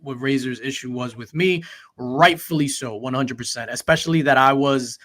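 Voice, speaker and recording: A man's voice: medium pitch, normal speed, fairly bright tone. A man hosting a livestream, earnestly explaining his side at length with fillers. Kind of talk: casual chat.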